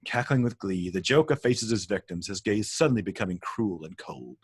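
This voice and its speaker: A man's voice: lowish voice, animated, normal speed, husky, dry recording, clean studio sound. A man reading scripted stage directions as narrator of a table read.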